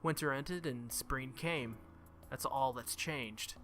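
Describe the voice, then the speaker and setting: A man's voice: mid-range voice, takes it slowly, a bit bright, slight background noise. A man reading visual novel narration aloud and reacting casually as a gaming video host, with music behind him. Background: game music.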